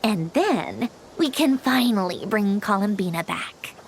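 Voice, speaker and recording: A woman's voice: medium pitch, lively intonation, a little slow, heavy background noise. Haughty, theatrical genius inventor girl. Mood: proud. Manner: smug, theatrical, impatient. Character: haughty, proud young female genius inventor. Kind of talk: video game voice acting.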